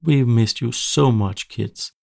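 A man voicing a warm, grateful cartoon-animal parent in a storybook, affectionate and relieved. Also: mid-range voice, takes it slowly, gravelly, no echo, clean studio sound.

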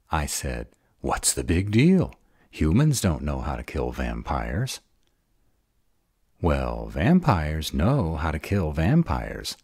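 Very deep, sarcastic vampire bad boy played by a man. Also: dark tone, no room sound, clean studio sound, heavily acted. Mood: defiant. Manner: sarcastic, drawling. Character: sarcastic bad-boy male vampire, guarded but devoted. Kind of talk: audio roleplay acting.

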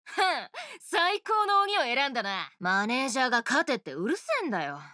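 A woman voicing a brash, swaggering female oni rock star guitarist, with rough tough-girl speech in game voice lines. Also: high voice, big pitch swings, fairly quick, sharp tone, no room sound, studio quality, heavily acted. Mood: cocky. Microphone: studio mic, dry.

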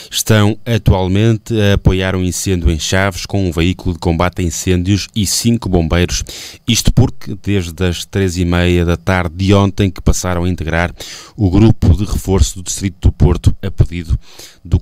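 A man's voice: deep voice, a little mellow, dry recording. A man with a European Portuguese accent reading scripted regional news on the radio as a newsreader. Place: radio studio.

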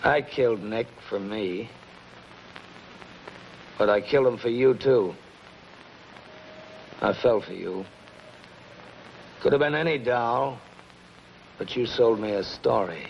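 Terse, demanding killer, medium pitch. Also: male voice, slow, vocal fry, pauses often. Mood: intense, insistent. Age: middle-aged. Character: hard, possessive middle-aged killer on the run. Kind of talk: 1950s television crime melodrama acting. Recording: muffled, noisy 1950s television soundtrack.

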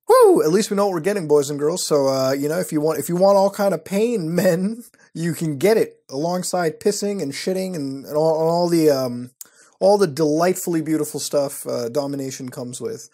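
Young man hosting a casual web show. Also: a little high. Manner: animated, profane, wry reactions. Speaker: host. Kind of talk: casual commentary reading websites.

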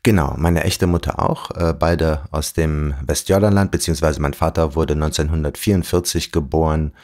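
A man in his forties from Germany, telling his life story as an interview guest in a thoughtful way, with pauses and fillers. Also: a bass voice, steady tone, a little mellow. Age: 40s. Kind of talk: reflective storytelling.

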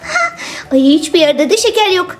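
A woman's voice: very high-pitched, wildly swooping pitch, moderate pace, voice pushed down, exaggerated voice. A woman voicing a greedy, brazen little witch, gloating and defiant, in a children's toy story.

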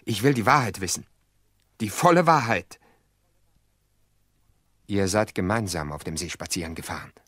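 An actor in a radio play voicing an insistent widower, probing and pressing for the truth. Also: male voice, low-pitched, animated, normal speed, pauses often, fairly bright tone, breathy edge, dry recording, clean studio sound, heavily acted. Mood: insistent, accusing. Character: insistent grieving widower pressing his sister-in-law for the truth. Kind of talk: dramatic radio play acting.

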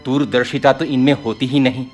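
An actor playing a practical, dismissive middle-aged elder brother in a radio drama, with a husky, rough voice. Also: male voice, mid-range voice, fairly even intonation, fairly quick, darkish tone, soft background music, natural voice. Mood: irritated. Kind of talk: Hindi radio play acting.

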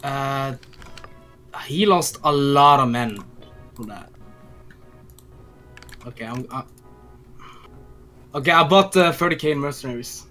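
Bright-voiced man stammering over game chat. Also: medium pitch, takes it slowly, long pauses, soft background music. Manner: hesitant, stammering. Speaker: player in a multiplayer strategy game. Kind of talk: casual voice chat while gaming.

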